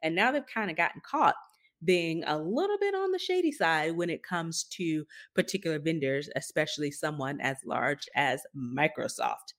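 A woman's voice: deep voice, lively intonation, normal speed. A woman co-hosting a podcast, talking through news in a casual, informal and wry way. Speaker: podcast co-host. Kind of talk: casual tech news discussion.

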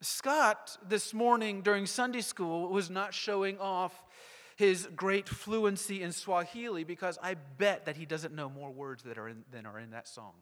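A male pastor preaching a sermon to a congregation, emphatic and rhetorical, with deliberate pauses. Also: high voice, fairly bright tone. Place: church sanctuary. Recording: long hall reverb.

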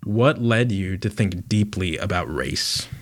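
A male interviewer asks plain, direct questions. His voice sits somewhat low. It is recorded dry and close. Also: measured pace, dry recording.

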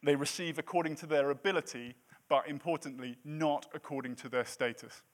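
A man's voice: fairly high pitch, fairly quick. A man in his late thirties preaching a sermon in a church, with a self-deprecating, jokey manner between points. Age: 30s. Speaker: pastor.

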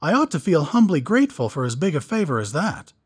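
A man's voice: a little high, sing-song, brisk, breathy edge, pitched above the performer's own voice. A man voicing a sly, dry-witted doctor who speaks in a purring, wry way. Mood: sardonic. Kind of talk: audiobook character voice.

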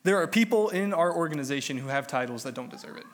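A man's voice: on the high side, lively intonation, fast. A man delivering a sermon while he has a cold, sounding a little nasal, teaching in a conversational, self-deprecating way. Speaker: lay preacher.

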